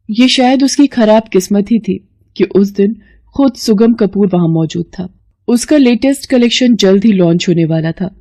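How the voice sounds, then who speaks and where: A woman's voice: medium pitch, warm tone. A woman narrating a scripted story as its storyteller.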